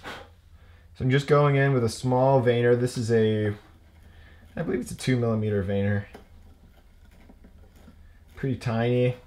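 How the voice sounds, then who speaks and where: A young man with a medium-pitched voice teaches a hands-on craft. He talks casually, as if thinking aloud while he works. His phrases come in halting pieces. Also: steady tone, a little mellow. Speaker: woodcarving instructor. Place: indoor workshop.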